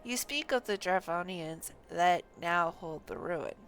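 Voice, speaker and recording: Woman playing a haughty, sharp-toned young fantasy gentleman. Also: lowish voice, expressive, unhurried, pitched below the performer's own voice. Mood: firm, insistent. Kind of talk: reading fantasy game dialogue aloud. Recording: faint background noise.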